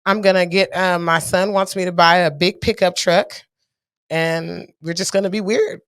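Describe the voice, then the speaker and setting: A woman's voice: fairly low pitch, steady tone, normal speed, fairly bright tone, dry recording, clean studio sound. An American woman in her thirties chatting casually as a podcast host, candid, slangy and freely swearing. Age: 30s. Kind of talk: casual chat.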